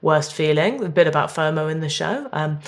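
A woman's voice: low voice, animated, normal speed. A young woman comedian chatting casually as a guest, jokey and self-deprecating. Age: young adult. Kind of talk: casual playful chat.

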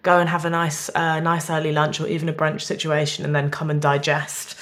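A woman's voice: sits low, monotone, fairly quick. A woman in her twenties chatting casually as a comedian guest, jokey, rambling and self-deprecating. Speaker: comedian guest on a radio show. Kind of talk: casual interview chat.

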